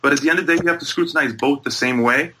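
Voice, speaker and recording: A man's voice: a little high, rapid-fire, pushed and bright, breathy edge, poor audio. A man from Egypt and Bahrain speaking as an interview guest, giving earnest, long explanatory answers in a conversational way and often repeating phrases. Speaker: guest, sports journalist and MMA commentator.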